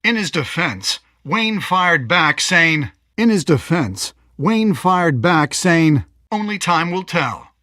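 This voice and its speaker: A polished, dramatic TV news anchor for a game. His voice is fairly high and delivers scripted reports crisply and gravely, with heavy emphasis, in a very dry studio recording. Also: big pitch swings, slow pace, bright, forceful tone, dry recording, full character voice. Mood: dramatic, reporting.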